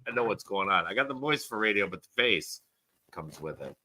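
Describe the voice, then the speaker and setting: An American man from Chicago co-hosting a football podcast stream over a video call, excitable, swearing and stumbling over his words. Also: fairly low pitch, a little fast. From Chicago, United States. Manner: excitable, swears a lot, stammers and repeats himself. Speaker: podcast co-host. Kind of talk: casual livestream banter.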